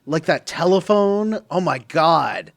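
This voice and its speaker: A man's voice: fairly high pitch, lively intonation, slow, vocal fry, no room sound. A man co-hosting a casual gaming podcast, making wry remarks and often trailing off mid-sentence. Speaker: podcast co-host. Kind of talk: casual chat.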